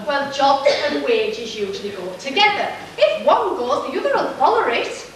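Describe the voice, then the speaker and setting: A woman's voice: sits high, very animated, moderate pace, a little hiss or hum. A woman playing a dim, cheeky comic henchwoman in an amateur stage pantomime, with broad delivery. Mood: explaining. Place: church pantomime stage, far camera. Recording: echoey hall from far off.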